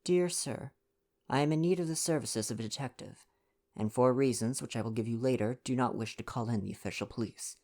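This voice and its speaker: Wealthy letter writer read by a man: anxious, formal, measured urgency, storybook narration. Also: mid-range voice, a little mellow, lightly acted. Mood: urgent.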